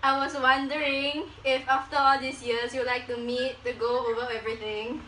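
Bright, high-pitched young woman, roomy sound. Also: flat delivery, normal speed. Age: young adult. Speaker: vlogger. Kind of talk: casual chat to camera and prank phone calls. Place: small living room indoors. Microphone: camera a few steps away. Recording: roomy, noisy, distant camera microphone.

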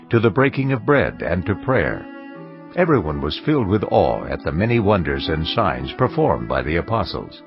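A man reading scripted narration for a dramatized scripture audiobook. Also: deep voice. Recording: dull sound with music underneath.

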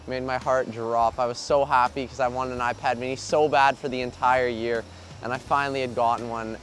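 A young man outdoors in front of a storefront, telling a memory plainly, recorded on a lapel mic. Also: mid-range voice. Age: young adult. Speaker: street interviewee. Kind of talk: casual storytelling.

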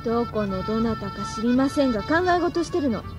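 A woman playing a plucky, cheeky high-school girl with worries, in a Japanese radio drama. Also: animated, fairly quick, music in the background, close to an everyday voice.

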